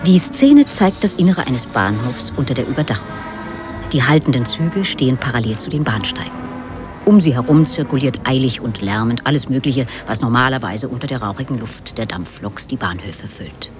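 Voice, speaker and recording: A male narrator announces scenes and stage directions for a radio drama. His mid-range voice swings widely and theatrically, with music clearly playing behind it. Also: medium pitch, animated, moderate pace.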